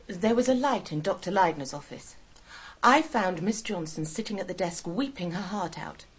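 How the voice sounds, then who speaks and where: An actress playing an observant, matter-of-fact nurse who recounts things plainly in a radio drama. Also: female voice, fairly low pitch, lively intonation, moderate pace, husky. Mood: sober, recounting.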